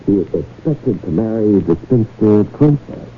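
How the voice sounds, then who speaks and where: An actor playing a smooth, scheming gentleman con artist in a radio drama, with courtly, halting salesman's patter. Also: lowish voice, animated. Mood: smooth pitching. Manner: hesitant, courtly salesman's patter with deliberate pauses. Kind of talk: 1970s radio drama acting. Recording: muffled, faintly noisy old radio broadcast.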